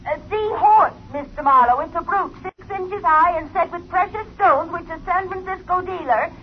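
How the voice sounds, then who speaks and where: A woman's voice: very high voice, slight background noise. An actress playing an imperious, ailing wealthy woman who gives clipped orders in a 1950s radio drama. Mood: commanding. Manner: commanding, clipped, brisk. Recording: dull old radio recording.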